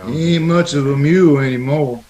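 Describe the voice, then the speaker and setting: An actor playing a gentle, simple-minded, picked-on drifter in a vintage radio Western, sounding childlike and plaintive. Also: male voice, a little high, moderate pace, close to an everyday voice. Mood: mournful.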